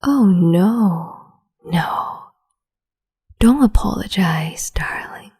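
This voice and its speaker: A woman voicing a cruel, capricious empress in an ASMR roleplay, whispering softly and condescendingly with sweet menace, close to the mic. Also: lowish voice, expressive, very slow, exaggerated voice. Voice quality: breathy whisper.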